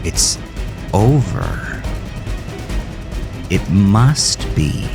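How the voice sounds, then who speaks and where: Low-voiced man narrating horror over music. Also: huge pitch swings, drawn-out words, vocal fry. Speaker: author narrating his own horror story. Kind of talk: dramatic scripted horror narration. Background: music under the voice.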